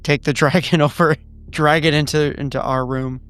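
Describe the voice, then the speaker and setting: A man's voice: a little high, fairly even intonation, moderate pace, a bit bright, clean tone, soft background music. A man taking part as a player in a tabletop roleplaying game, casually narrating what his character does.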